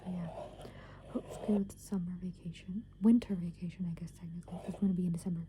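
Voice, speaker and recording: A woman in her twenties whispering casual, meandering ASMR thoughts in a hushed, unvoiced voice. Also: on the low side, steady tone, fast. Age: 20s. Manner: casual, meandering, thinking aloud. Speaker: ASMR creator. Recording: clearly noisy background hiss and ambience.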